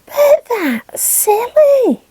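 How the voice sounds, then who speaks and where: A woman's voice: very high voice, sing-song, extremely slow, dark tone, heavily acted, low-quality recording. A woman voicing an earnest, childlike young puppet co-presenter reading scripted news for children. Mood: indignant.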